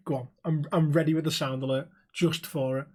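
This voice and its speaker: A man co-hosting a podcast, with a fairly high voice for a man. He swears a lot, laughs easily, and slips into acted-out quotes mid-story. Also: fairly even intonation, brisk, breathy edge. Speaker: podcast co-host. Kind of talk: casual podcast banter.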